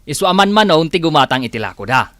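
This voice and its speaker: A man's voice: fairly high pitch, sing-song, fast, sharp tone, no room sound, studio quality. A man with an Ilocano Filipino accent playing a reproachful, principled village husband in a radio comedy drama. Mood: admonishing. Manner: admonishing, earnest.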